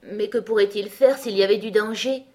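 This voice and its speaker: A woman voicing a curious, polite young girl who is easily astonished, in an expressive children's storybook reading. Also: mid-range voice, fairly quick, voice pushed up, natural voice.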